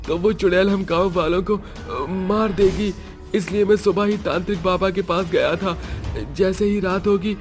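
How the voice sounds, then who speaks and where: A man puts on a young villager in a horror story, pitched far above a deep narrating voice. The lad is alarmed and emphatic, urgently warning the village, with faint music underneath.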